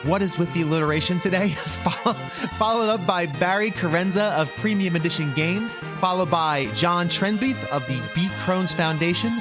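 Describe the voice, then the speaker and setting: A man's voice: high-pitched, moderate pace, hardly any pauses, over music. A man around forty hosting a podcast, chatting casually with guests, laughing often and introducing the next segments. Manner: laughs often, enthusiastic reactions. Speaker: podcast host. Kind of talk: casual chat and show introductions.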